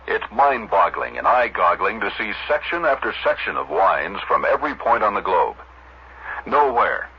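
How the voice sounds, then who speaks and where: An American man reading a radio commercial in a punchy announcer style. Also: fairly low pitch. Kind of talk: punchy advertising read. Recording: muffled radio broadcast with faint hiss.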